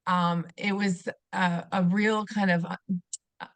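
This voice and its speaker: A woman's voice: lowish voice, level tone, measured pace, dry recording. A middle-aged woman from Canada, a former teacher, speaking earnestly as a podcast guest and often restarting her sentences. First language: German. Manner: earnest, reflective, many restarts and fillers. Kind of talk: conversational interview.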